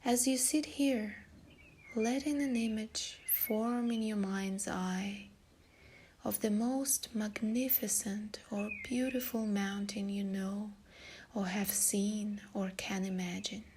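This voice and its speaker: A woman leading a guided meditation in a soft, breathy, soothing delivery. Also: medium pitch, fairly even intonation, takes it slowly, a little hiss or hum.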